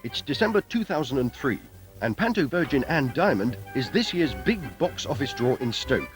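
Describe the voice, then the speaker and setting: A British man narrates a documentary with a wry, scripted delivery. His mid-pitched voice swings expressively, with music playing behind it on an old tape recording. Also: big pitch swings, normal speed. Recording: voice-over with music, from VHS tape.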